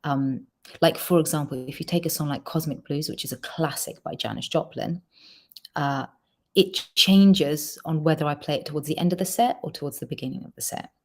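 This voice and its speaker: Quick-talking low-voiced woman singer. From Georgia. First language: Georgian. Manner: quick, chatty, lots of ums. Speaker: singer reading fan questions. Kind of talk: casual livestream Q&A.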